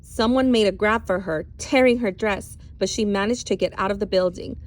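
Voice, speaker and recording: Female read-aloud narrator, teacher type, mid-range pitch, expressive storytelling delivery. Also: normal speed. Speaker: teacher reading a novel aloud.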